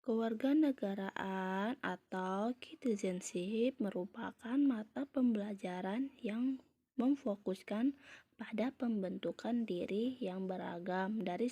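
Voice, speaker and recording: High-voiced young woman reading formally. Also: mellow, no room sound. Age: young adult. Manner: formal, recited from a text. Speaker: university student presenter. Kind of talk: read-aloud research presentation.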